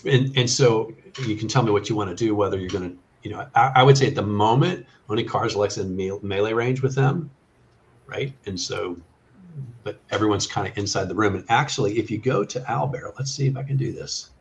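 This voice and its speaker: Halting low-voiced man running a game. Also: creaky, lo-fi sound, slight background noise. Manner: halting, thinking aloud. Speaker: game master. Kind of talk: improvised tabletop game narration. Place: online group call.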